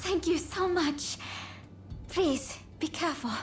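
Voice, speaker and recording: Anxious gentle wife, high pitch, unhurried polite delivery, grateful, video game drama. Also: female voice, faint music behind. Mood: worried, gentle. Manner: polite, careful, measured speech. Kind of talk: video game cutscene acting.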